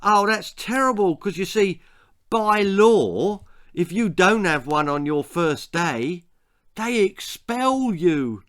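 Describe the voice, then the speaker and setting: A man's voice: high-pitched, expressive, takes it slowly, a bit bright, voice pushed up, heavily acted. A man voicing a cocky, sneering twelve-year-old bully in a children's story, mocking and full of false sympathy. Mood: mock concern.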